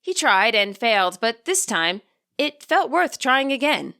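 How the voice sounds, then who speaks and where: A woman reading a fan fiction story aloud as scripted, expressive narration. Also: on the high side, a little slow, clean tone.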